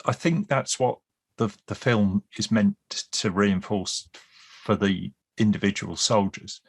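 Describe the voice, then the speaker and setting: A man's voice: lowish voice, a little slow, vocal fry, slightly rough. A man giving an expert, explanatory take in a casual podcast chat, with frequent restarts and dry humour. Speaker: guest expert on Cold War British Army.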